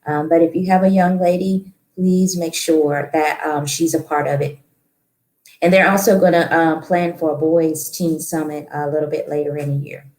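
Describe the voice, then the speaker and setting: A woman's voice: sits low, fairly even intonation, normal speed, a little mellow. A woman giving a community announcement during a group discussion, informative and hesitant, with frequent ums. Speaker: participant. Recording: echoey room with faint noise.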